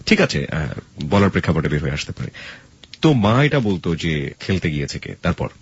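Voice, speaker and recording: Man, radio interviewer, very low husky voice, conversational, dry lo-fi recording. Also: big pitch swings, a little fast, no echo. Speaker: radio show host interviewing guests.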